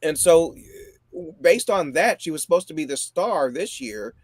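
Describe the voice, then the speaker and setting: A man's voice: fairly high pitch, animated, a little slow, creaky, no echo. A man around forty chatting casually about politics as a show guest. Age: 40s.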